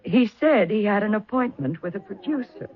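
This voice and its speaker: An actress playing a genteel, guarded widow in old radio melodrama, speaking formally and haltingly with dramatic feeling. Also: female voice, mid-range voice, lively intonation, dull audio, music in the background, natural voice. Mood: confiding.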